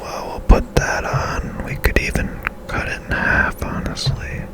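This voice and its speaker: A person whispering softly and breathily, musing tentatively to themselves in ASMR-style video game commentary. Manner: unhurried, musing to self, tentative. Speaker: gaming ASMR video creator.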